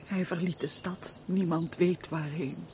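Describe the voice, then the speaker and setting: An actress playing a remorseful young runaway wife in a radio drama, speaking in a solemn, tearful, melodramatic way. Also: female voice, lowish voice, fairly even intonation, a little slow, a little mellow, slight background noise. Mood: despairing. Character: remorseful young runaway wife, grief-stricken and self-punishing. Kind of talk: theatrical radio drama acting.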